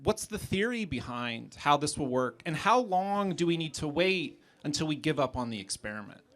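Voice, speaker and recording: An American man, a professor, putting questions in a live debate in a measured, analytical manner. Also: fairly high pitch, big pitch swings, fairly bright tone.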